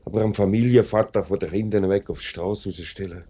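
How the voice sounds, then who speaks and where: Swiss german boss in a 50s radio play, low voice, hesitant, soft-hearted director type. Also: male voice, steady tone, fast, slightly rough. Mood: doubtful. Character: lenient, hesitant company director, middle-aged man in charge. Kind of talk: 1950s radio play acting.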